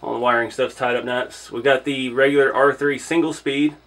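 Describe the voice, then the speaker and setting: Regular guy, mid pitch, hobby review vlog, easygoing and thinking out loud. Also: fairly even intonation, a little fast. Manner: informal, thinking aloud, chuckles often. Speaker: hobby vlogger reviewing an RC truck. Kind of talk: casual hands-on product first look.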